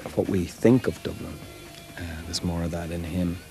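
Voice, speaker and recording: A man's voice: low voice, fairly even intonation, moderate pace, gravelly, music in the background. A man giving a thoughtful documentary interview, musing as he talks.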